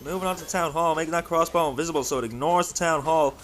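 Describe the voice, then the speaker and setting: A man's voice: on the high side, very fast, a bit bright, slight background noise. An Irish man hosting a livestream, giving chatty live game commentary and talking directly to his viewers. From Ireland. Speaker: livestream host and esports caster.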